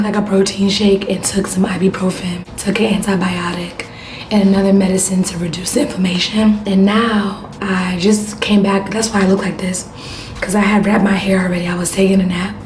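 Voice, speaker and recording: A woman from Houston who has lost her voice, chatting to camera in a hoarse near-whisper as a beauty vlogger. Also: mid-range voice, a little mellow, reverberant, a little hiss or hum. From Houston, Texas. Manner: chatty, addresses viewers as y'all. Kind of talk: casual vlog chat to camera. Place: hotel room, then bathroom.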